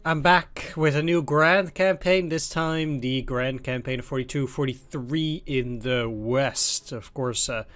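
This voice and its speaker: A man's voice: medium pitch, measured pace, few pauses, a bit bright. A man with a cold hosting a let's play, talking loosely and thinking aloud as he plays. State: has a cold. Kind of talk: casual gameplay commentary.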